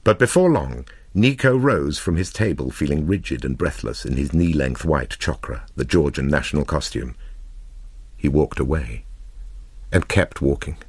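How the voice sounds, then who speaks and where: Male narrator for literary fiction: low, slightly husky voice, measured and expressive, with deliberate pauses. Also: no echo. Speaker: narrator reading a short story.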